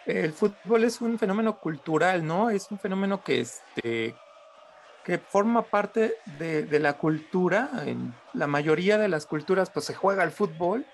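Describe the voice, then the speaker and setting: A man's voice: high-pitched, animated, a little slow, creaky, slight background noise. A Mexican man arguing his point in a casual panel discussion, often feeling his way through sentences.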